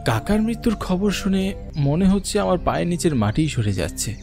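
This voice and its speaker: A man's voice: sits high, sing-song, brisk, gravelly, music in the background, exaggerated voice. A man voicing a young schoolmaster in a horror cartoon who also narrates the story, with an earnest, anxious manner. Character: young adult male schoolmaster, earnest nephew.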